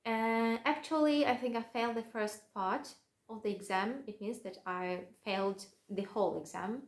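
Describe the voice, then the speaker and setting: A woman's voice: a little high, measured pace. Chatty Russian woman in an echoey room. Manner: chatty, self-mocking, many "um" fillers. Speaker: vlogger. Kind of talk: casual talk to camera.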